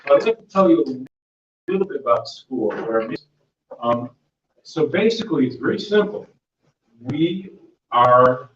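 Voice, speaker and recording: Booming man presenting in an echoey room. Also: slight background noise. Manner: loose, rambling delivery with many fillers and restarts. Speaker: volunteer mentor presenting a workshop. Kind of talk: community workshop presentation. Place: meeting room. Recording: dull, echoey room audio from far off.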